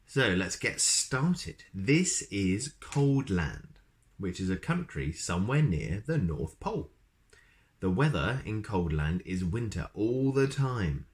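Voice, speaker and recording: A man reading children's storybooks aloud as host, expressive and speaking directly to young listeners. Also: on the low side, slow pace.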